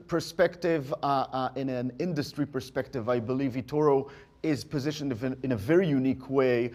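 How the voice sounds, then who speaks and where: A man, a company executive giving market commentary on an earnings call, speaking in halting phrases. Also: medium pitch, a little mellow.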